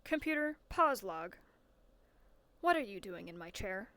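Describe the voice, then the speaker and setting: A woman's voice: mid-range voice, very animated, a little slow, fairly bright tone, slight background noise. A woman playing a composed starship captain in a sci-fi skit, dry and politely pointed. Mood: wry. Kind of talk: scripted sci-fi skit acting.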